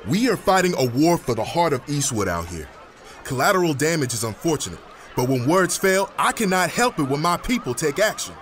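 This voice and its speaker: Protest leader: fervent, rhetorical, higher-pitched with animated rises, set in faint outdoor noise. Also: male voice. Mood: resolute. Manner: deliberate, rhetorical, impassioned. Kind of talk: impassioned political speech in an interview.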